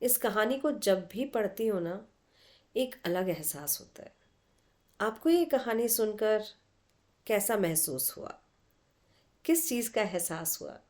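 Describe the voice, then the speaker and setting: A woman's voice: mid-range voice, a little mellow. A woman storyteller hosting a podcast, narrating expressively and speaking directly to her listeners.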